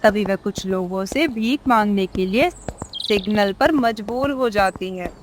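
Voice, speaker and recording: A woman's voice: mid-range voice, a little slow, a little hiss or hum. A woman narrating a scripted moral story as a storyteller.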